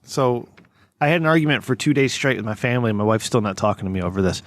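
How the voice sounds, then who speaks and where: A man's voice: medium pitch, animated, quick pace, dry recording, studio quality. A man hosting a casual group podcast, joking and swearing freely. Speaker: podcast host. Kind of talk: casual chat.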